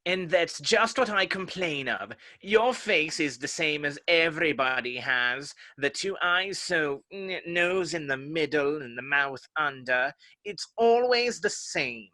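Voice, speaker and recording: A man's voice: a little high, lively intonation, measured pace, few pauses, bright, forceful tone. A man playing a haughty, touchy, pedantic egg character in a dramatic reading of a children's classic. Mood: pedantic, dismissive.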